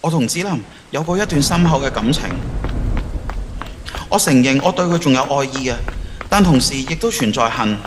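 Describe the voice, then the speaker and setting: A man's voice: mid-range voice, expressive, moderate pace, long pauses, long reverb tail, noisy background, natural voice. A man playing a lovesick, torn teenage schoolboy in an audio drama, with earnest, emotional delivery. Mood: wistful, torn. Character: lovesick, torn teenage schoolboy who has travelled back in time. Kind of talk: Cantonese audio drama acting.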